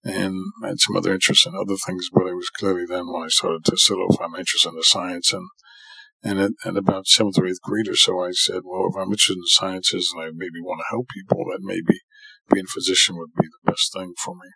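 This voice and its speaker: Deep, raspy middle-aged New man. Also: flat delivery, fast talker, sharp tone, no echo, rough recording. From New York City, United States. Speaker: podcast guest, surgeon and professor. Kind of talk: autobiographical monologue for a podcast.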